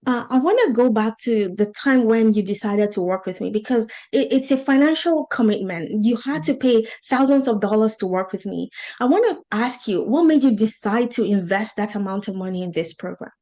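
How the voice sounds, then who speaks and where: A woman's voice: on the high side, normal speed, few pauses, warm tone. A woman with a Nigerian accent, hosting a conversational interview in an earnest way, repeating words for emphasis. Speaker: podcast host and business coach.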